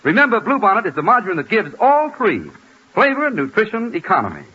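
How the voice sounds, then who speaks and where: An American man announcing a 1940s radio commercial with emphatic, deliberate salesmanship. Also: sits high, huge pitch swings, sharp tone. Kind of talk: 1940s radio advertising read. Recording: muffled, faintly noisy 1940s radio broadcast.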